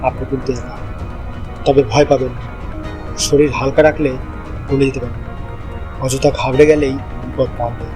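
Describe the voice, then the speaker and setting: Music plays behind an actor as a helpful young man working as a guide. He has a mid-pitched voice and speaks carefully and advisingly, as in a suspense audio drama. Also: fairly even intonation, moderate pace, long pauses, close to an everyday voice. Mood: cautioning, reassuring. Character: helpful, cautious young male local guide. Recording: voice over background music.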